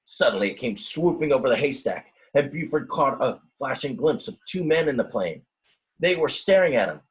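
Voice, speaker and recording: A man reading a children's storybook aloud in a dramatic, expressive way, with simple explanatory asides for young listeners. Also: mid-range voice, normal speed, slightly rough. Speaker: teacher reading a picture book aloud.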